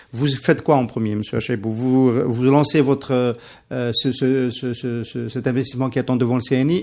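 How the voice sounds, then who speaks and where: Male radio host, fast-paced, steers the talk. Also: medium pitch, darkish tone. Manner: brisk, leading, finishes the guest's sentences. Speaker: radio interviewer. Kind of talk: radio interview.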